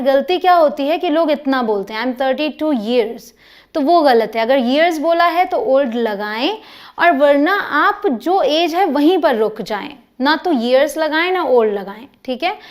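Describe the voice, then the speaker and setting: Slow high-voiced Indian woman teaching English. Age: 30s. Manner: slow, drawn-out English example phrases. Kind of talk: spoken English lesson.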